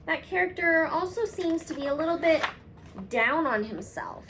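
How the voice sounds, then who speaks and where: A woman reading a picture book aloud to children, expressive and cutesy, talking directly to her young listeners. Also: extremely high pitch, normal speed, background noise. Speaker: read-aloud host, storyteller for children.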